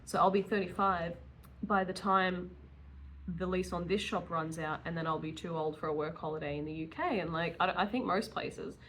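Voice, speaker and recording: A woman in her early thirties with a slightly low voice chats candidly, as if to a camera. Her delivery is casual and rambling, with self-deprecating asides. There is noticeable noise behind her. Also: steady tone, moderate pace, a bit bright, rough recording. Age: early 30s. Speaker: vlogger, self-employed dog groomer.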